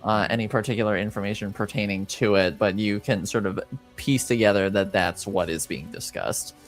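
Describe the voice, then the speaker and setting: A man improvising narration as game master of a tabletop game, with halting, hesitant phrasing. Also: fairly low pitch, faint music behind.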